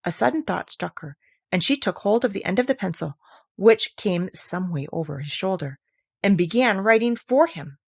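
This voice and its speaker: Lady reading a kids story out loud, expressive, normal pitch, really dry recording. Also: normal speed, creaky, slightly rough, no echo. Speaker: audiobook reader.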